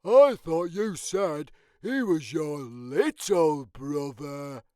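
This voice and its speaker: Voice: mid-range voice, animated, very slow, darkish tone, no echo, studio quality, pitched above the performer's own voice. A storybook narrator voicing a friendly, easygoing male jungle animal with a polite, agreeable manner. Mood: puzzled. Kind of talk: children's picture-book character reading.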